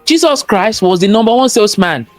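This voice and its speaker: A man with a strong Nigerian accent and a high voice speaks as an interview guest. He talks in a motivational, sermon-like way, repeating key phrases for emphasis and throwing out rhetorical questions. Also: animated, a little fast, faint music behind. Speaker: guest, cybersecurity expert and entrepreneur. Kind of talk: interview conversation, motivational talk.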